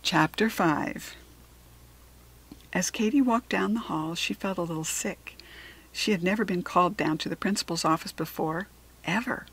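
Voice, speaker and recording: An American woman narrating a children's story expressively, with deliberate dramatic emphasis on key words. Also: on the low side, a little hiss or hum. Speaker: storybook reader.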